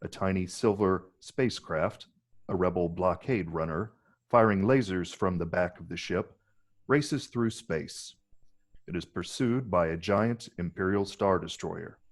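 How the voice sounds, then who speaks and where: A man's voice: low-pitched, unhurried. A man narrating stage directions from a film script in a dramatic table read.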